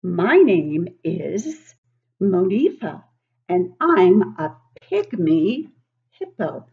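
A woman's voice: lowish voice, big pitch swings, extremely slow, breathy edge, phone-quality sound, heavily acted. A woman in her sixties voicing a cheerful baby zoo animal from a picture book, introducing itself proudly and emphatically. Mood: proud introduction. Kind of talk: picture-book character reading.

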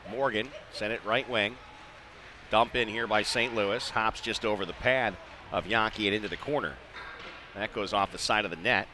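A man's voice: lowish voice, moderate pace. Male hockey play-by-play man over rink noise. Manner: excitable on big plays, clipped phrases. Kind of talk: live radio-style ice hockey play-by-play commentary. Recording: live arena broadcast with background noise.

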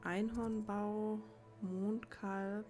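A woman's voice: medium pitch, level tone, extremely slow, a little mellow, soft background music. A woman giving casual gameplay commentary, musing as if thinking aloud to herself. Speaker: let's play gamer.

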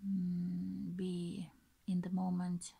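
Woman, Finnish accent, breathy, halting casual vlog talk, very slow pace, mellow tone. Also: lowish voice, completely flat delivery. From Finland. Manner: hesitant, halting, pausing between short phrases. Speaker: vlogger introducing her channel. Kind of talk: casual unscripted talk to camera. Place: small bedroom, indoors. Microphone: built-in device mic, close to face.

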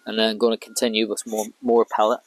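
A man in his thirties, a fishing vlogger, calmly explaining each step of his rig while working outdoors by a lake with a clip-on mic. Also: lowish voice, quick pace. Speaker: carp angler vlogger. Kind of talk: casual how-to commentary.

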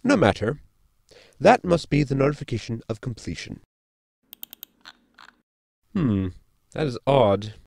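Guy doing a polite nerdy robot for sci fi radio comedy, super up and down pitch, lots of fry, formal and precise. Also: very animated, a little slow, pauses often, darkish tone, no echo, full character voice. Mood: precise, explanatory. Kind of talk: sci-fi comedy audio drama acting.

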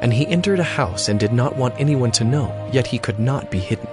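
A man's voice: mid-range voice, lively intonation, brisk. Casting: man with a middle-register voice to narrate dramatized scripture over music.

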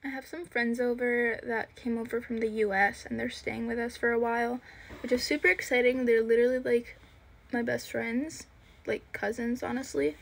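A woman's voice: fairly high pitch, creaky, fairly bright tone, slight background noise, rough recording. An eighteen-year-old woman from Italy chatting casually while doing her makeup in her bedroom, filming herself on a phone held close. She rambles a bit and leans on fillers. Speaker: vlogger. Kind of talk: casual get-ready-with-me chat. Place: small bedroom indoors. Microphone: phone camera at arm's length.

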